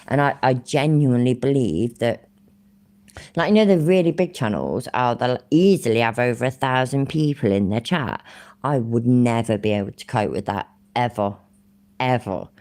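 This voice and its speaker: A woman's voice: a bass voice, normal speed, darkish tone. A woman with an English Midlands accent, chatting casually to her live-stream audience; she rambles, swears freely and laughs often.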